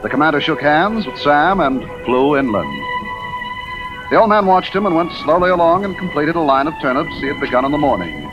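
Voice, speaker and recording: An actor as the measured storyteller of a 1950s radio play. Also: male voice, on the high side, lively intonation, close to an everyday voice. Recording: muffled vintage radio recording. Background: prominent music.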